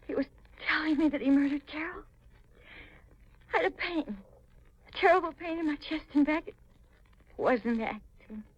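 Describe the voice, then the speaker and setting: An actress playing a frightened, defiant and unhinged young wife in a 1950s radio mystery, shrill, breathless and melodramatic, on a noisy old recording. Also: female voice, moderate pace, pauses often, lo-fi sound, lightly acted. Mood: pained, weak. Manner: melodramatic, intense.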